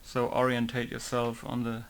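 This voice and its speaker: A man's voice: mid-range voice, monotone, normal speed. Modder, man, casual muttered tutorial, mid voice, faint hiss. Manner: muttering to himself, thinking aloud. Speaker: game modder. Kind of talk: casual tutorial while working.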